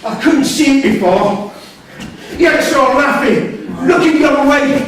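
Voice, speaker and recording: Heard from a distance in a very echoey space, a man acts out a volatile male prisoner. He is coarse, accusing and sweary, a bereaved father with violence in him, and his voice sits very high for a man, delivered at a measured pace. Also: a bit bright. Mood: enraged. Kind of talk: staged reading of a prison drama. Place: theatre room at a live staged reading. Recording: distant, very echoey hall, faint noise.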